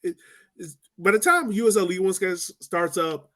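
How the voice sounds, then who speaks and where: A man's voice: sits high, big pitch swings, fairly quick, a bit bright. A man hosting a casual sports podcast in a joking, informal, slangy way. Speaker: podcast host.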